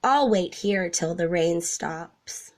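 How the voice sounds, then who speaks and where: A woman voicing an earnest, gentle young male elephant in a children's storybook, with wide-eyed expression. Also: lowish voice, lively intonation, takes it slowly. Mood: resolved. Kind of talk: children's storybook read-aloud.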